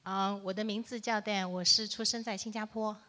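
Bright clear-cut woman's voice, middle aged, translating church preaching live, short halting phrases. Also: mid-range voice, fairly even intonation. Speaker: sermon interpreter.

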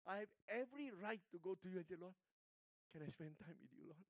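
An older Singaporean pastor delivers a sermon, sliding from hushed, intimate asides to loud, emphatic exhortations. His voice sits a touch high for a man. The sound is close and dry, with almost no room around it. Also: expressive, fairly quick, dry recording. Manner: storytelling, swings between hushed and emphatic.

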